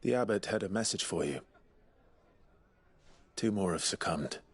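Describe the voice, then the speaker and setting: A man's voice: low-pitched, animated, measured pace, husky, exaggerated voice. A man acting a brooding, terse noble warrior in a video game, grave and restrained. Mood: grave, subdued.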